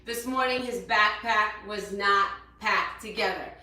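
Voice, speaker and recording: A woman in her thirties with a loud voice, a fitness coach giving a chatty motivational talk to her followers from a hotel room and repeating her points for emphasis. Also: mid-range voice, measured pace. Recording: very echoey room, voice from a distance.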